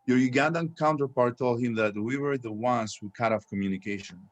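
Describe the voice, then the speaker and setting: A man's voice: mid-range voice, a little fast, muffled recording, natural voice. A man playing a dutiful army sergeant at a command post in a screenplay table read, reporting formally and plainly. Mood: matter-of-fact.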